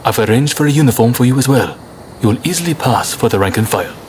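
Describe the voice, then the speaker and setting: Man acting a composed conspirator, mid-pitched. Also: animated, quick pace, natural voice. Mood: earnest, confident. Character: composed, trusting male conspirator giving a mission. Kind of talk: video game cutscene acting. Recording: faint ambient noise.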